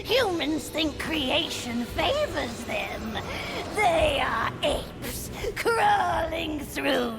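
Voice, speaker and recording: Game villain: greedy, spiteful she-demon, very high and wildly swooping, cackling and possessive, over loud music. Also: big pitch swings, very slow, vocal fry, exaggerated voice. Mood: spiteful, taunting. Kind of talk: theatrical video game villain acting.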